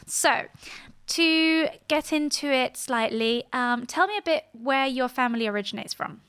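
A woman's voice: sits high, a little slow, a bit bright, clean tone. A woman hosting a podcast interview, upbeat and asking her guest questions. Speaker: podcast host.

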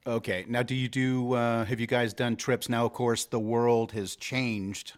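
A man's voice: mid-range voice, flat delivery, a little slow. A man hosting a casual chat, laughing often and asking loose, wandering questions. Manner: laughs easily, brief backchannels, rambling questions.

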